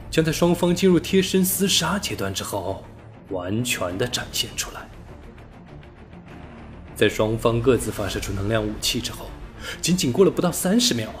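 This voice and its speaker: A man reading a science fiction novel aloud as an audiobook narrator, steady and storytelling, lifting his voice on key words. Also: on the low side. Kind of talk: scripted sci-fi novel narration.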